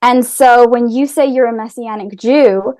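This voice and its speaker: A woman's voice: fairly high pitch, measured pace, mellow, dry recording. A woman with an American accent, raised in America after an early childhood in Wales, talking earnestly as a podcast guest. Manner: earnest, with small restarts. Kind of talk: casual conversation. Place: Israel.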